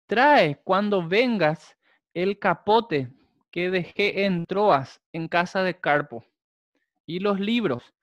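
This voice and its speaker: A young adult man, a Guarani speaker, preaching a sermon, with slow, emphatic repetition. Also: high voice, fairly bright tone, no echo, muffled recording. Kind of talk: sermon with prayer.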